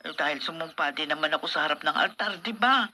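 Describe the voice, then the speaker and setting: A woman's voice: sits low, fast talker, sharp tone, slight background noise. An actress with a Filipino accent playing a remorseful, devoted wife and mother in a heartfelt, theatrical radio drama. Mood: tender, earnest.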